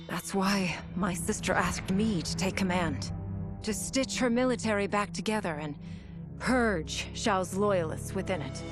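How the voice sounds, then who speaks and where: An actress playing a proud, dutiful royal warrior and commander, narrating a game cutscene with formal composure. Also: female voice, medium pitch, natural voice. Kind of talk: dramatic scripted cutscene narration. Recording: music behind voice.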